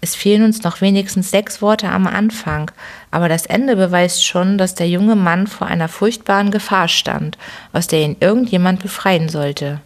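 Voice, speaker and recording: A woman voicing a calm, incisive detective who reasons in a measured, dryly ironic way in an audiobook. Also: lowish voice, natural voice. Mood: deliberate. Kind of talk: audiobook character reading.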